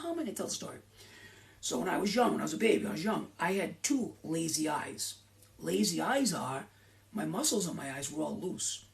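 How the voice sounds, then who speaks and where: A man's voice: on the high side, creaky. A middle-aged man hosting a live question-and-answer stream, talking in a rambling, chatty way with many restarts and asides. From Rhode Island. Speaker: host of a live Q and A. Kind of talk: rambling casual chat.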